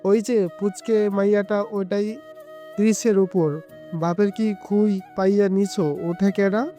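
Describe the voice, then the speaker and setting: A woman's voice: mid-range voice, steady tone, unhurried, mellow, faint music behind, natural voice. A woman voicing a stern, scolding village father with a grave, blunt manner and a Bangladeshi Bengali dialect, in an audio story. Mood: gruff disapproval.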